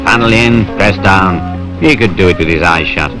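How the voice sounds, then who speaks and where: A man's voice: sits low, moderate pace, loud music behind the voice. A British man giving wry, colloquial, conversational narration for a film. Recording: noisy 1970s industrial film soundtrack.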